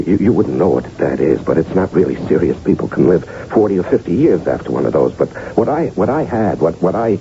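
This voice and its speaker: Low, creaky, anxious American husband. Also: male voice, mellow. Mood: subdued, halting. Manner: halting, stammering, insistent. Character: anxious married man melodramatically claiming he is dying. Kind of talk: 1980s radio drama acting. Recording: dull, noisy old radio broadcast.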